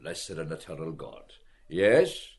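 A man's voice: rumbling low, sing-song, takes it slowly, a little mellow, full character voice. An actor playing a sour, scornful, profane older man with a drawling, exclamatory delivery in a literary radio drama.

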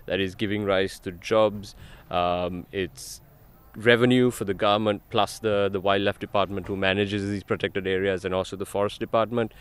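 Sri lankan guy being interviewed, low smooth voice, just explaining stuff conversationally. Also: steady tone, normal speed. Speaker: ecotourism company representative, interview guest.

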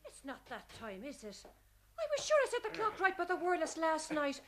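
An actress playing a fussy, questioning Irish housewife in a domestic radio drama. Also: female voice, very high-pitched, sing-song, a little fast, a bit bright, faint music behind, exaggerated voice. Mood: flustered. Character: fussing, suspicious Irish housewife at breakfast. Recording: noisy old radio archive recording.

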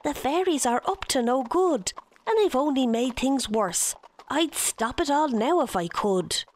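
Earnest young storybook hero, remorseful and urgent, raised bright pitch, voiced by a woman narrator. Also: lively intonation, a little slow.

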